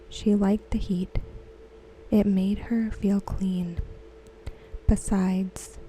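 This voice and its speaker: A woman host reading aloud in a soft, breathy near-whisper for ASMR, gentle, unhurried and soothing, close to the microphone. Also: medium pitch, slight background noise, rough recording.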